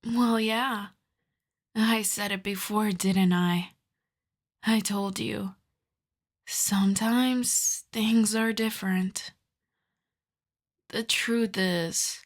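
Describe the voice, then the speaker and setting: A woman voicing a seductive, possessive female vampire in an intimate ASMR roleplay, speaking softly and teasingly, often in a half-whisper. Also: mid-range voice, steady tone. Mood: wistful, teasing. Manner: slow, soft, breathy half-whispered teasing delivery.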